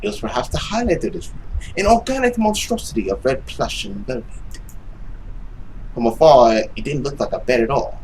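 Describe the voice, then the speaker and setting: Young male gaming narrator, dramatic suspenseful read, hushed at times, faintly noisy home recording. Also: medium pitch, sing-song, moderate pace. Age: young adult. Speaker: gaming YouTuber reading a visual novel aloud.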